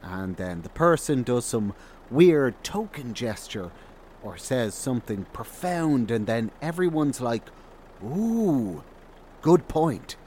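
A man's voice: medium pitch, sing-song, takes it slowly, darkish tone. A man playing a shrewd roadside wanderer in an audio drama, with a knowing, sardonic drawl. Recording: faint car engine noise.

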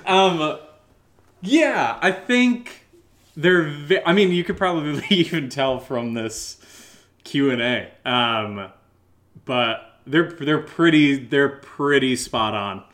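Jokey, animated man in casual chat. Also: a little high, takes it slowly. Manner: teasing, jokey, self-deprecating; animated, gets louder. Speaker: co-host of a horror audio drama podcast, answering listener questions.

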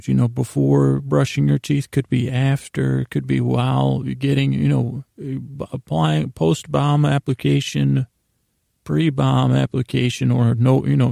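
A middle-aged man hosting a bedtime podcast, rambling whimsically in a creaky voice, often halting and losing his thread. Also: medium pitch, dry recording, studio quality. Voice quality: creaky, gravelly, mellow voice. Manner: meandering, halting, trails off mid-thought, whimsical. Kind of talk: rambling, improvised bedtime monologue to listeners.

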